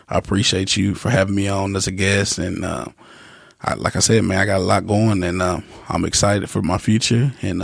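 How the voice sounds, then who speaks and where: A man's voice: low voice, gravelly, slightly rough, no room sound, studio quality. A young man as a podcast guest, talking in a casual, easygoing way with lots of fillers and restarts. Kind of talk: casual interview talk.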